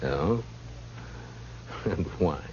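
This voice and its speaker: An American actor playing a tough, dryly amused frontier marshal, gruff and laconic, in a 1950s western radio drama. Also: male voice, low-pitched, lively intonation, extremely slow, darkish tone, full character voice. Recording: muffled, noisy 1950s radio recording.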